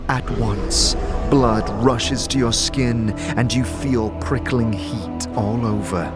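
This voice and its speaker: A man's voice: medium pitch, fairly even intonation, slow. A man delivering dramatic, scripted fantasy narration as the narrator of an audio drama. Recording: loud music behind the narration.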